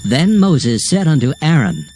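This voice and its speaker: A man narrating scripture from a script in a solemn, measured, formal manner. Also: a little high, big pitch swings, darkish tone, slight background noise. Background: music.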